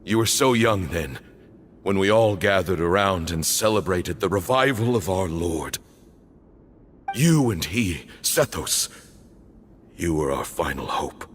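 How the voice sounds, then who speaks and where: A man playing a stern, bitter temple leader in a video game, speaking in a formal, grave, measured way. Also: low-pitched, animated, slight background noise. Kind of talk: dramatic video game voice acting. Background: background music.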